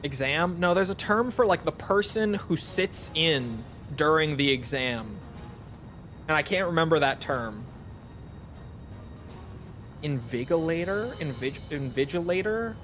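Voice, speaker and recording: Male game streamer, slightly high-pitched, casual and self-deprecating, loose rambling chat over soft background music. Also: expressive, unhurried, pauses often. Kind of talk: casual livestream chat, replying to viewers.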